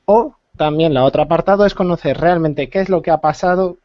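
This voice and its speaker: A man on a panel explaining a topic slowly, searching for his words. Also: fairly high pitch, dry recording, dull audio. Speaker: guest panelist. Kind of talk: explaining on a video call panel.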